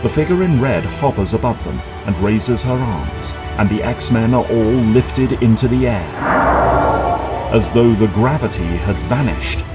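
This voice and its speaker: Low-voiced male drama narrator over music. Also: lively intonation, measured pace.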